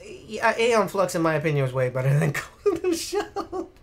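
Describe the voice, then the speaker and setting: A man hosting a casual podcast, speaking bluntly with plenty of swearing and getting animated when exasperated. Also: a little high, moderate pace. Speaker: podcast host. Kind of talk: casual opinionated podcast chat.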